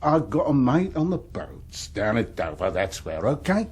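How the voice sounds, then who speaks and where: An actor playing a cheeky, wheedling wheeler-dealer in a radio drama, with a husky voice and a London Cockney accent. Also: male voice, medium pitch, sing-song, a little slow, darkish tone.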